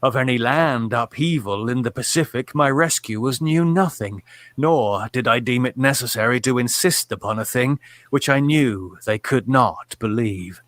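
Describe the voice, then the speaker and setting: An actor playing a tormented, doomed narrator in a horror audio drama, with formal, theatrical delivery. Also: male voice, mid-range voice, moderate pace, fairly bright tone, no echo, close to an everyday voice. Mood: grave, brooding. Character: tormented male narrator of a horror tale, morphine addict, doomed.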